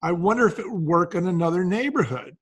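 A man's voice: high voice, animated, fast talker, slightly rough. A man telling anecdotes conversationally as an interview guest, acting out the dialogue as he goes. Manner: acts out quoted dialogue. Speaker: interview guest, wine company co-founder.

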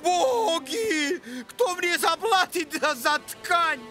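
An actor voicing an angry, blustering merchant, a father, in an animated film. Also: very high voice, drawn-out words, heavily acted. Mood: outraged. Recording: music behind the voice.

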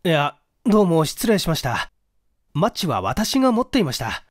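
A man's voice: a little high, huge pitch swings, normal speed, no room sound, studio quality. Man playing a courteous, composed detective, lightish voice. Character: composed, courteous male detective narrating his case.

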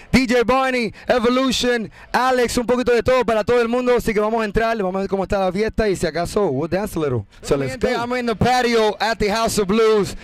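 A man in his thirties with a Puerto Rican accent, hosting a nightclub event as DJ, talking in hyped, upbeat promoter patter into a handheld mic. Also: sits high. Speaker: DJ and event host. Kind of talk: nightclub event hosting, switching Spanish and English. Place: outdoor patio of a music venue at night. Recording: echoey stage mic over a loud live band.

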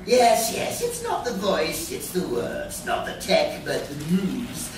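An actor playing a theatrical, mock-courteous goblin villain who taunts with glee in a live radio play. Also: male voice, sits high, huge pitch swings, takes it slowly, heavily acted. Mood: sly, taunting. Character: cackling theatrical male goblin supervillain, gleefully taunting. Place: large hotel conference room with seated audience. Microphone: distant audience camera. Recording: echoey noisy room, recorded from far off.

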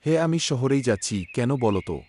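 A man's voice: mid-range voice, lively intonation, normal speed, darkish tone, close to an everyday voice. A man playing a friendly, obliging driver, a stranger in a cartoon story.

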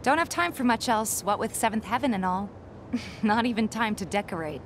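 Actress as gentle young woman, lively high voice. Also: normal speed, background noise, natural voice. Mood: friendly, shy. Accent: American. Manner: gentle, hesitant, friendly. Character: kind young woman barkeeper, gentle and caring. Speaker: game character actor. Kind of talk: video game voice acting.

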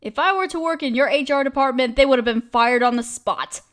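A woman's voice: high-pitched, brisk. A woman acting as a caring, protective best friend in an audio roleplay, speaking casually and emphatically. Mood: outraged. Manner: expressive, emphatic, casual with mild swearing.

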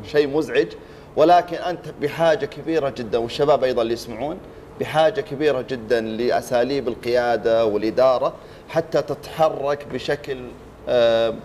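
A man on a TV panel giving a contestant measured advice, on a lapel mic in a studio. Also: mid-range voice, darkish tone, slight background noise. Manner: measured, advising. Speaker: judge on a talent show panel. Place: TV studio set.

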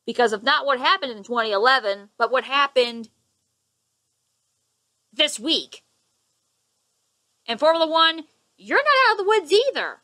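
A woman's voice: high-pitched, sing-song, measured pace, long pauses, bright, forceful tone. A young woman ranting at her webcam in her living room, indignant and sarcastic, waving her hands as she talks. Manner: indignant, sarcastic, gestures a lot. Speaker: vlogger. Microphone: webcam at close range.

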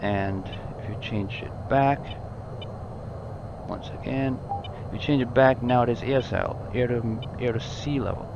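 A man with a mid-pitched voice talks through a flight simulator cockpit as a tutorial presenter. His delivery is informal and explanatory, often repeating himself to clarify. The sound is dull and lo-fi, with clear noise behind him.

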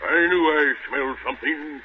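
An actor playing a hungry, bullying giant with a theatrical, menacing manner in a 1930s radio comedy. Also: male voice, fairly high pitch, moderate pace, close to an everyday voice. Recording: noisy, dull 1930s radio recording.

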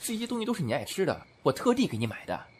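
A man's voice: fairly high pitch, wildly swooping pitch, very fast, a little hiss or hum. An actor playing a lovesick, persistent and sheepish young businessman in an amateur audio drama. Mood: pleading, hurt.

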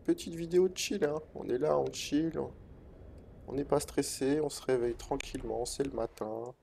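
Relaxed man with a head cold. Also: medium pitch, moderate pace, creaky, slight background noise. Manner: hesitant, many "euh" fillers and restarts. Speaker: gaming video host. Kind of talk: relaxed commentary over gameplay.

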